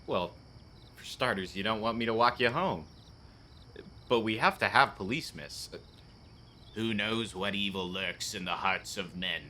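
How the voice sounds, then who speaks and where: A man's voice: lowish voice, unhurried, pauses often, pushed and bright, a little hiss or hum. A man acting an earnest, lonely policeman in a radio play. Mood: probing, earnest. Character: earnest lonely policeman, grieving his dead fiancée.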